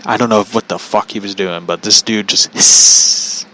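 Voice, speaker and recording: Bright-voiced man rambling through casual stories. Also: fairly low pitch, steady tone, moderate pace, a little hiss or hum, low-quality recording. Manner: foul-mouthed, incredulous. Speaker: podcast host telling stories.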